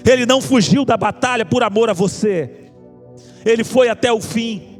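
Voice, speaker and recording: Male evangelical preacher, Brazilian accent. Extremely high register, fervent altar-call delivery with rhetorical repetition, musical backing. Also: normal speed. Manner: impassioned, exhorting, rhetorical repetition. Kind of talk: evangelical sermon with altar appeal. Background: music under the preaching.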